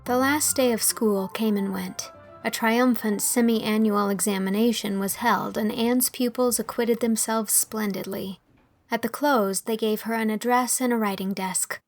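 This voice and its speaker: A woman's voice: on the high side, fairly even intonation, unhurried, dry recording, soft background music. A woman reading scripted storybook narration as an audiobook narrator.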